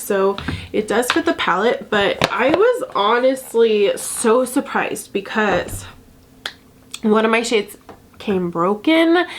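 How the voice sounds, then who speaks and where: Stuffy, chatty young woman vlogger. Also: mid-range voice. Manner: chatty and bubbly, with fillers and laughs. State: sick and stuffy. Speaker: beauty vlogger. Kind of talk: casual product review.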